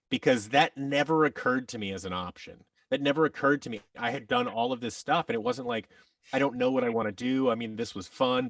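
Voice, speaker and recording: A man's voice: medium pitch, a bit bright, no room sound, recorded from a distance. A man telling personal stories as a podcast guest, candid and reflective, often interrupting himself.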